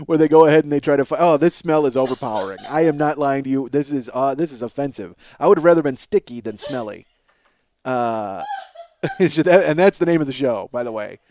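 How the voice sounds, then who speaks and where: A man's voice: fairly high pitch, a little mellow. A man hosting a comedy podcast in a rambling, self-mocking monologue, ranting with profane exasperation.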